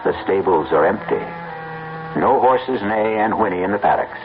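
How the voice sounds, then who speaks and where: A man's voice: fairly low pitch, lively intonation, normal speed, background noise. An American man hosting and narrating a mystery radio drama with dramatic scripted delivery, on a muffled old broadcast.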